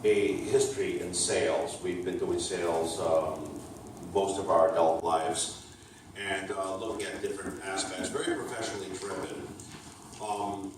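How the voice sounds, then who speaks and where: A man in his fifties from Portugal, presenting his startup at a community event, speaking haltingly with many fillers and restarts. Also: deep voice, steady tone, noisy background. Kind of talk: hesitant public talk. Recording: echoey room, mic at a distance.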